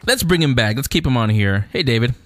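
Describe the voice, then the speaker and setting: A man's voice: mid-range voice, sing-song, fast talker, no room sound, clean studio sound, faint music behind. A man hosting a radio show in casual, chatty conversation.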